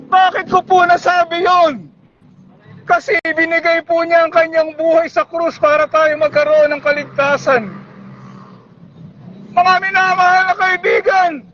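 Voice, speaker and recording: A Filipino man preaching an evangelistic street sermon, pleading and calling out loudly to passers-by. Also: very high voice, lo-fi sound, a little hiss or hum. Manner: pleading, shouting exhortation. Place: busy public bus terminal.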